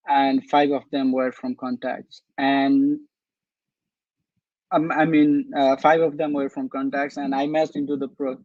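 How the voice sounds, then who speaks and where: A man's voice: medium pitch, a little mellow, no echo, muffled recording. A man, speaking as a doctor on a webinar panel, giving earnest advice and often repeating words as he searches for them. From Nepal. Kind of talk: casual advice talk.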